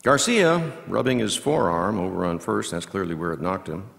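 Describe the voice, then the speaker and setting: A low-voiced baseball announcer , acted by a man, delivers scripted radio-style play-by-play in a big, reverberant hall. He is slow and measured, in clipped phrases, with a very wide melodic sweep that can climb into excitable calls. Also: gravelly, exaggerated voice. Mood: measured, watchful. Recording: long reverb of a large hall.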